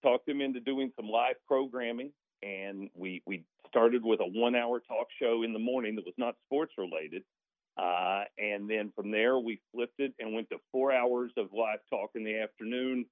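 A man's voice: mid-range voice, moderate pace, no room sound. A man who works as a sports announcer, chatting casually as a radio guest over a phone call. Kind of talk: casual chat, reminiscing. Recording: narrow tinny phone line, dry.

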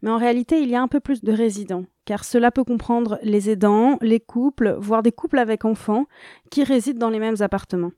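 A woman radio reporter narrating a scripted news report in an even, composed reading. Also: a little high, a little fast, no echo, clean studio sound.

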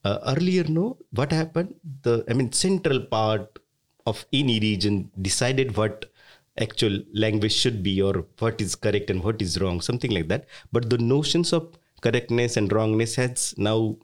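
A man's voice: fairly low pitch, moderate pace. Hesitant Indian man, earnest guest. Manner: hesitant, many "I mean" fillers, earnest. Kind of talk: conversational interview.

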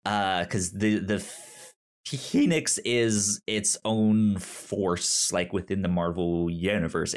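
A man co-hosting a podcast, chatting casually with geeky enthusiasm and often circling back to rephrase himself. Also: low voice. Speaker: podcast co-host. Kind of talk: casual chat about comics and shows.

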